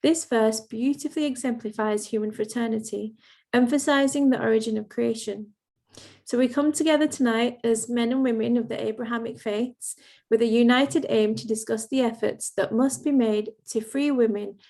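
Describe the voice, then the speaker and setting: English woman hosting, measured and formal. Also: a little high, vocal fry, a little mellow. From England. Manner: measured, reads prepared text with occasional ums. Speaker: event host. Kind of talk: formal opening remarks.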